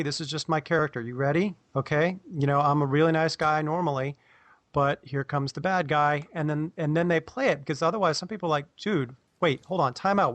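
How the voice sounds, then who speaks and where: Higher-pitched man chatting on a panel. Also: fairly bright tone, no room sound. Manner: acts out imagined dialogue to make points. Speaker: podcast panelist. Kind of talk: casual panel discussion.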